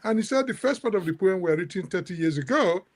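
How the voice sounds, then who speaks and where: A middle-aged man moderating an academic discussion, halting, with many restarts and fillers. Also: sits high, expressive. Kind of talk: academic discussion on a video call.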